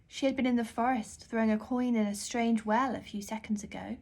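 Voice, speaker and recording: Higher-pitched woman reading a children's story. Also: steady tone, a little fast, dark tone. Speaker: author reading her book aloud.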